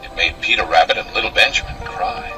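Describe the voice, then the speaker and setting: A man narrating a children's storybook as an expressive storyteller. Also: low voice, a little fast, distant mic, rough recording. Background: music.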